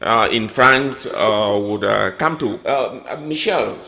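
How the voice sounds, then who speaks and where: A man's voice: on the low side, slow pace, vocal fry, bright, forceful tone. Hesitant male panel host. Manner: hesitant, many uh fillers. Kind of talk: moderating a political panel.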